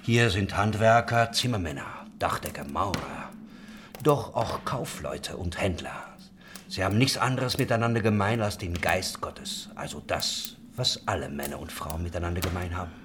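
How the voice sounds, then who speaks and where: Man acting low-voiced, philosophical commune brother. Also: normal speed, background noise, close to an everyday voice. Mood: earnest, idealistic. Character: worldly, philosophical roofer, idealistic leader of religious commune. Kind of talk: German historical radio drama acting.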